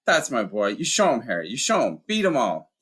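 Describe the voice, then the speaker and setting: A man voicing a big, tearful, warm-hearted half-giant gamekeeper in a hoarse, croaky voice, with broken, emotional country speech. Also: mid-range voice, huge pitch swings, brisk, voice pushed up, exaggerated voice. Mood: proud. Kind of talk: audiobook character voice.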